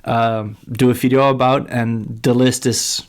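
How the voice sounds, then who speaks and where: A man's voice: mid-range voice, level tone, a little slow. A Dutch man, a skate shop owner and guest, chatting casually in English with hesitant, self-deprecating talk. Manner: hesitant, many fillers and restarts, self-deprecating. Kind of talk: casual podcast chat.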